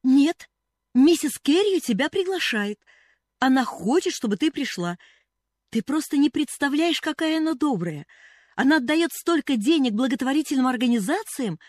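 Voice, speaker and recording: A woman's voice: sits high, expressive, normal speed, no echo, studio quality, voice pushed up. A woman voicing an eager, cheerful young girl in an audiobook story. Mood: pleading.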